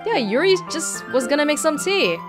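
A woman's voice: high-pitched, moderate pace. A woman hosting a let's play, reading a visual novel aloud expressively and adding her own reactions. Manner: expressive, acting out dialogue. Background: soft game music with guitar.

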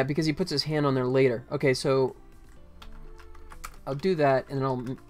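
A middle-aged man gives casual live commentary as a game developer, thinking aloud in short fragments. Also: mid-range voice. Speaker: game developer livestreaming his work.

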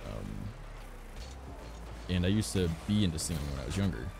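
Raspy low-voiced man chatting while gaming. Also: sing-song, normal speed, background noise. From Canada. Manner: rambling, frequent "like" and "um". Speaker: streamer. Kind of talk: casual chat while gaming. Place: home recording studio.

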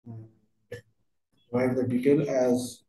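Male software trainer, mid-pitched, halting step-by-step screen narration, roomy echo. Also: level tone. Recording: roomy, echoey voice recording.

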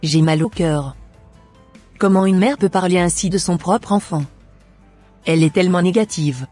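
A concerned, pious cartoon friend acted by a woman speaks earnestly. Her voice is a little low and husky, with faint music in the background. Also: normal speed, warm tone, lightly acted. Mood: dismayed. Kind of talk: scripted cartoon dialogue.

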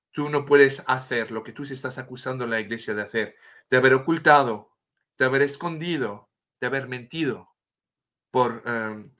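A man's voice: medium pitch, fairly bright tone. A middle-aged French man speaking Spanish with a French accent, a guest author telling a story earnestly on a video call from home, often restarting words as he searches for the Spanish term. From France. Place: home room with a bookshelf and statue. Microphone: webcam on a video call.

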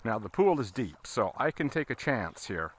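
Man giving halting outdoor tutorial, water noise. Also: medium pitch, very animated. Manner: halting, explaining as he casts. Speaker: fly fishing instructor. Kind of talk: casual hands-on tutorial while fishing. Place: outdoors, standing in a river. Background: running water.

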